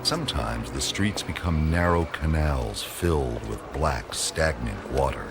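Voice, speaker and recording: A man's voice: rumbling low, animated, measured pace, music in the background, heavily acted. A man narrating a radio play from a script in a portentous, atmospheric storytelling manner.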